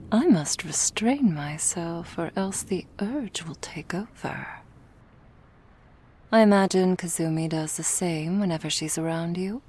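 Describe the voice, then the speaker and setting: Woman acting slow, husky, menacing vampire boss lady. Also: lowish voice, mellow. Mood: silky, hungry. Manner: slow, formal, silky and threatening. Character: menacing, imperious female vampire crime syndicate boss. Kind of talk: ASMR roleplay acting.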